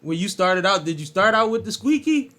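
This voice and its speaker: A podcast host man with a Philadelphia accent who talks quickly and casually. His voice is a bit higher than most men's, and he slips his questions into easy back-and-forth chat. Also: very animated, bright, forceful tone.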